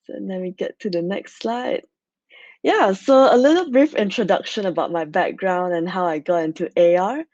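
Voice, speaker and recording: A woman's voice: mid-range voice, moderate pace, darkish tone, no echo. A young woman from Malaysia giving a casual online conference talk, chatty and full of fillers. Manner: chatty, many fillers like "like" and "so yeah". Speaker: conference speaker. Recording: dry, slightly dull video-call audio.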